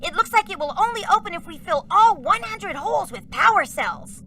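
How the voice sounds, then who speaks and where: A woman's voice: very high-pitched, animated, fairly quick, sharp tone, distant mic, a little hiss or hum, full character voice. An actress playing an eager, easily amazed young woman in a video game. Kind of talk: animated video game voice acting.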